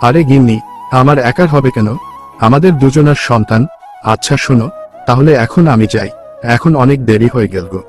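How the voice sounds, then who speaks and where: Guy acting a poor kindly village husband, earnest cartoon voice, mid pitch, faint music. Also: moderate pace, pauses often, close to an everyday voice. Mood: happy, affectionate. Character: poor, kind, married village labourer, affectionate. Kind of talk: cartoon folk tale acting.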